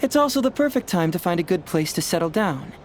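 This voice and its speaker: A man's voice: sits high, very animated, fast, a little hiss or hum. An actor playing a cheerful young male detective in a fantasy game, chatty, theatrical and courteous. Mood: curious, courteous. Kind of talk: animated game voice acting.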